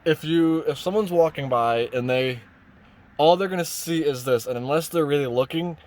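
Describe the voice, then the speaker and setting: A man's voice: on the high side, moderate pace, a little mellow. A man giving an informal hands-on tutorial inside a vehicle, rambling and thinking aloud as he goes. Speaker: vlogger presenting a truck radio install. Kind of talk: casual hands-on tutorial talk. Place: inside a parked pickup truck cab.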